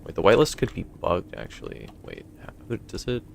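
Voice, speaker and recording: Casting: young male streamer, deep voice, strained, rambly chat to viewers, faint noise behind. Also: huge pitch swings, a little fast, vocal fry. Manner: rambling, reads chat aloud and answers it. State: voice feeling strained. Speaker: streamer running a game server. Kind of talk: casual live-stream chat.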